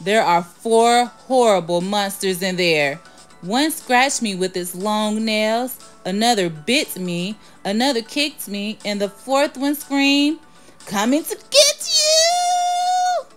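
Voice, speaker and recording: A woman voicing a frightened storybook robber in a loud, dramatic, alarmed way. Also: on the high side, lively intonation, slow, faint music behind. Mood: panicked.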